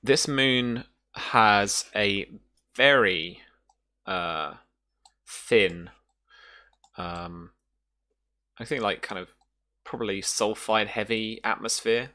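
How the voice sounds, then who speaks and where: A woman narrating a tabletop roleplaying game as game master, haltingly feeling her way through the details. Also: extremely low pitch, pushed and bright.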